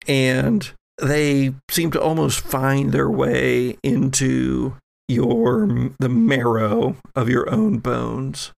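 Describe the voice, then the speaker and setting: A man narrating a horror tabletop game as game master, in a measured, descriptive storytelling manner. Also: mid-range voice, gravelly, dry recording, clean studio sound.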